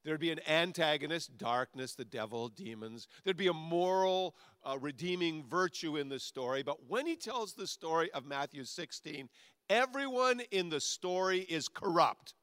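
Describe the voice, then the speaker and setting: A man's voice: on the high side, expressive, moderate pace, fairly bright tone, smooth, no room sound, clean studio sound. A male pastor preaching a sermon in a conversational way that builds to emphatic peaks.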